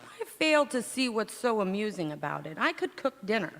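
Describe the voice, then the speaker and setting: A woman's voice: medium pitch, sing-song, moderate pace. A woman playing a feisty, sarcastic housewife in 1940s radio comedy style, with dry, wisecracking retorts. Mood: indignant. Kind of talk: 1940s radio comedy acting, staged revival. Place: community theatre stage in a church. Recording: echoey hall, long reverb.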